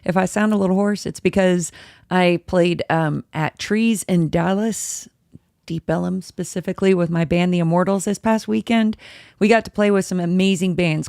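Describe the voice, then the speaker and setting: Gravelly, hoarse woman chatting. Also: darkish tone, no room sound, clean studio sound. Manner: chatty, rambling, self-interrupting. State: a little hoarse. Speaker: podcast host. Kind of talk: casual solo podcast chat, live-streamed. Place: home room with dogs. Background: dogs and a squeaky toy at times.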